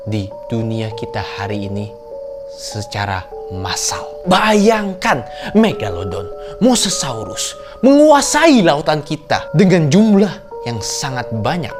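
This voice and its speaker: Animated man telling suspenseful stories. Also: medium pitch. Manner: theatrical, suspenseful, with rhetorical questions and exclamations. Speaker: host of a horror video reaction show. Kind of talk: dramatic storytelling commentary to viewers.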